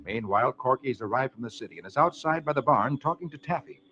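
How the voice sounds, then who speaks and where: A man's voice: mid-range voice, animated, quick pace, loud music behind the voice. An American man hosting and narrating comic-strip stories in 1940s children's radio style. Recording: muffled, noisy vintage radio recording.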